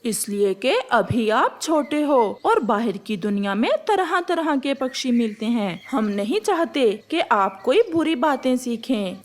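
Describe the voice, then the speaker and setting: A woman plays a parent bird in a children's cartoon. The voice is high and measured, firm and protective as it explains. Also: expressive.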